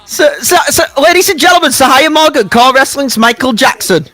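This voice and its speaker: A man co-hosting a live reaction chat, excitable and laughing often, doing mock announcer introductions. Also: extremely high pitch, a little fast.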